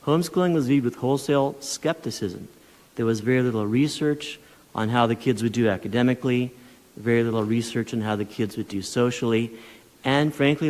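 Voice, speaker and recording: A man testifying at a legislative hearing, speaking in a measured, persuasive way with small restarts. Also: mid-range voice. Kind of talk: formal testimony. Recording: long reverb of a large hall.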